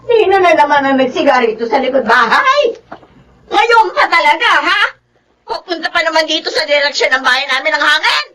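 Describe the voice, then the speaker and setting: An actress playing an angry older woman who scolds her neighbor in a shrill radio-drama voice. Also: very animated, fairly quick, far from the mic. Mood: furious.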